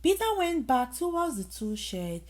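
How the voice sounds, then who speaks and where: A woman reading a children's bedtime story aloud in an expressive manner, with dramatic emphasis. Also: high voice, moderate pace. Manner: expressive, dramatic emphasis with acted sound effects.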